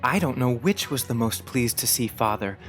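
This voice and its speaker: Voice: medium pitch, animated, moderate pace, natural voice. Earnest, politely old-fashioned little boy acted out. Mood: happy, earnest. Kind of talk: dramatized audiobook acting. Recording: music under the voice.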